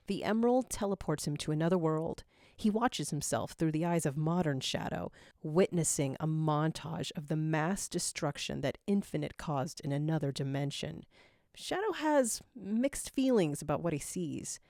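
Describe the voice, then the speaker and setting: Female storyteller for dramatic fan-fiction reading, fairly low pitch, expressive range, dry recording. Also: no room sound. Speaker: narrator. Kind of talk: dramatic scripted story narration.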